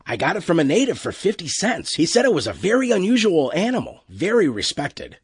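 A man's voice: sits high, wildly swooping pitch, brisk, husky, no echo, studio quality, voice pushed up, full character voice. A man voicing a nervous, deferential crewman in an audiobook, apologetic and eager to explain.

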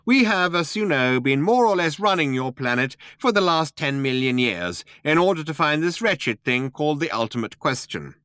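A small talking mouse who runs things , as a male narrator puts him on. He is curt, firm and businesslike, speaking in a raised, lively pitch with a dry, close sound. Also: normal speed, few pauses, clear, resonant tone, no echo. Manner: sharp, firm, imperious. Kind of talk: audiobook character voice.

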